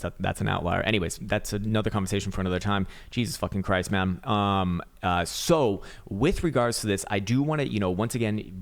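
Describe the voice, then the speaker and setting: A raspy-voiced man giving casual, rambling chart analysis, swearing now and then and full of fillers and restarts. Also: sits low. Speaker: market analyst.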